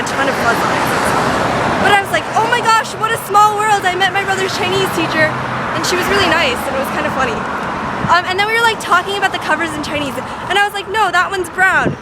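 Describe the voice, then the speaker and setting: A woman's voice: very high voice, quick pace, over a noisy crowd. A teenage girl rambling casually into her phone camera at a bus stop, full of "like" and self-deprecating asides. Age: teens. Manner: chatty, lots of "like", self-deprecating. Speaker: vlogger. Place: outdoors by a bus stop on a street. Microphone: phone camera held at arm's length. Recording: loud traffic noise. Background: passing cars and trucks.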